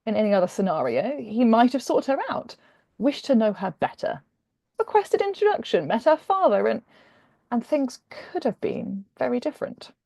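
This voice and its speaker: Female author-narrator, expressive romance reading, slightly gravelly mellow tone, dry close sound. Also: on the high side, moderate pace, dry recording. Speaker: author reading from own novel. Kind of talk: expressive audiobook-style narration of a humorous romance.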